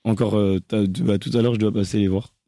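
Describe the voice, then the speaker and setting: A young man from France, a singer, answering interview questions in a hesitant, halting way with many restarts. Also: fairly low pitch, flat delivery, dark tone, no echo, clean studio sound.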